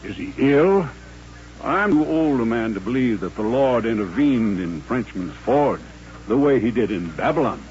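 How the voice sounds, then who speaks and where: An actor playing a devout, proud old colonel in a radio drama, speaking in formal, measured, solemn phrases. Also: male voice, medium pitch, sing-song, a little mellow, a little hiss or hum, close to an everyday voice. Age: older. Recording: dull old radio recording with faint music.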